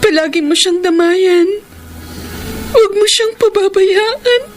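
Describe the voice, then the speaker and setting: A woman's voice: very high voice, takes it slowly, a little hiss or hum. A woman acting a loving, self-sacrificing, heartbroken girlfriend in a sentimental radio drama, earnest, tender and plaintive. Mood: pleading, selfless. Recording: radio drama with music underneath.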